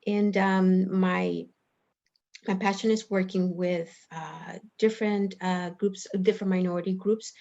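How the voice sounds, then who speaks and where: A middle-aged woman from El Salvador speaks informally as a panelist on a video call, in a halting, rambling, earnest way. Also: fairly low pitch, steady tone, vocal fry, mellow. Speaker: panelist, clinical psychologist and director. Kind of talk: informal self-introduction on a panel. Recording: dull, lo-fi video call audio.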